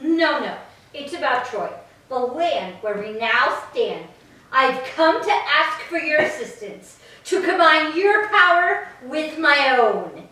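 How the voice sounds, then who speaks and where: A woman playing a proud, vengeful goddess with formal, deliberate delivery in a staged reading of Greek tragedy. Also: sits high, big pitch swings, bright, forceful tone, full character voice. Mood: formal, courteous. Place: echoing church interior. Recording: very echoey hall, distant mic.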